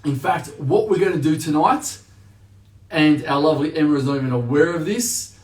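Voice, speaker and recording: Man, chatty live-stream host, big voice, booming and upbeat, recorded a way off the mic in an echoey room. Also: normal speed, darkish tone, far from the mic. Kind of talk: casual live-stream interview.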